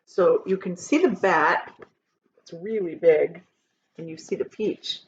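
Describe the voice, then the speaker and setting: A woman teacher reading a children's story aloud in a deliberate, dramatic manner. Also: on the low side, muffled recording, a little hiss or hum. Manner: deliberate, dramatic, shows pictures to listeners.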